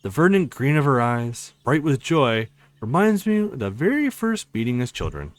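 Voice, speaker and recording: Guy narrating a visual novel playthrough, super lively swingy pitch, casual and expressive, close dry sound. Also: normal speed, no echo. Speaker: let's play host. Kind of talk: reading a visual novel aloud with casual reactions.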